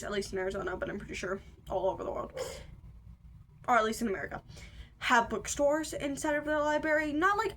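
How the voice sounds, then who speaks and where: A woman's voice: mid-range voice, a bit bright, slight background noise. A nineteen-year-old woman chatting and ranting about books, rambling with lots of fillers and self-corrections. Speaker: book vlogger. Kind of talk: casual chatty book review rant.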